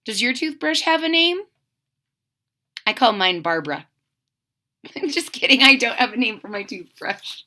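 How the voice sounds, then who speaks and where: Woman, children's read-aloud, teacherly asides, expressive, bright, fairly high voice. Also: moderate pace, pauses often. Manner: expressive, explanatory asides to young listeners. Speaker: kindergarten teacher reading aloud.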